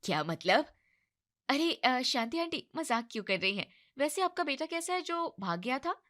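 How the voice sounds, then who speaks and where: A sassy young woman, voiced by a woman, pitched high and bright with a quick, cheeky tongue. She sounds like a storybook heroine. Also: very animated. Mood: flustered.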